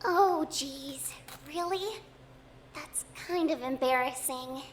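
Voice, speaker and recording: A woman's voice: sits high, takes it slowly, a little hiss or hum. An actress voicing an earnest, insistent young girl who speaks emphatically.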